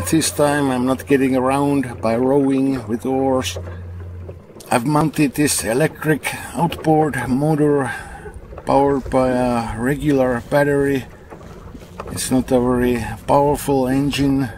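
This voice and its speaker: A man's voice: medium pitch, steady tone, slow, a little mellow, a little hiss or hum. A middle-aged man narrating a fishing vlog casually, in an understated, dryly humorous way. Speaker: fishing vlogger.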